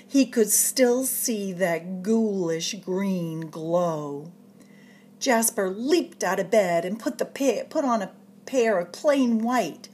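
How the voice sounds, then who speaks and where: A woman reads a children's picture book aloud with a lively, swooping voice. She takes her time and stretches phrases for suspense like a practiced storyteller. There is some faint noise in the recording. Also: mid-range voice. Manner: expressive, dramatic storyteller delivery with drawn-out suspenseful phrases. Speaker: storytime reader at a public library.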